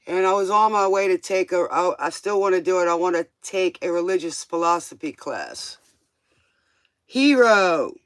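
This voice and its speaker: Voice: mid-range voice, expressive, moderate pace, clean tone, no echo. A livestream tarot host chatting casually in a rambling, informal way, drifting between thoughts and tossing out questioning asides.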